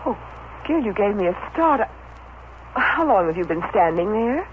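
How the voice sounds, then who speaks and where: Romantic radio heroine: refined, earnest, emotional, slightly lo-fi vintage broadcast sound. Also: female voice, mid-range voice, big pitch swings, measured pace. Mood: startled, tender. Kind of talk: old-time radio soap opera acting. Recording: dull, noisy vintage radio recording.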